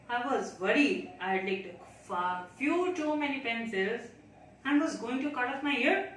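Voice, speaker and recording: A woman performing a theatrical monologue as an anxious, chatty, naive child, talking to themself in a dramatic, deliberate way. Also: high voice, fairly bright tone, heavily acted. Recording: very echoey room, distant mic, faint noise.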